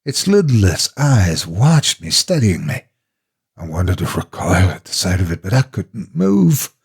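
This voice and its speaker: A man with a husky, gravelly voice narrating a horror story in the first person, dramatically and with deliberate expression. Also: low voice, sing-song.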